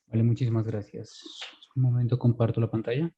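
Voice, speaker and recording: A man's voice: mid-range voice, level tone, fairly quick, husky. A man giving a formal academic presentation over a muffled video call. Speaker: conference presenter. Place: online video conference.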